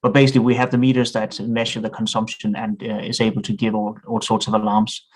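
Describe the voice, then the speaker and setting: A middle-aged man speaking English with a Danish accent, his delivery level and flat in pitch. He talks thoughtfully, pausing on fillers and restarting phrases as he goes. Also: poor audio. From Denmark. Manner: thoughtful, frequent hesitations and restarts. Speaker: guest. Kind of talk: podcast interview.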